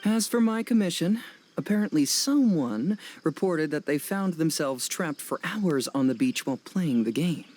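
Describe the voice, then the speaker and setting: Composed, higher-pitched young detective. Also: male voice, animated, a little mellow. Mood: serious, investigative. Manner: measured, formal, matter-of-fact. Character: composed young male detective, serious and analytical. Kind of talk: English video game dub acting.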